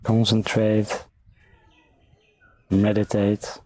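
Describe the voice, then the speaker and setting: A man speaking as a meditation teacher, giving calm, unhurried, gentle guided meditation instructions. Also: on the low side.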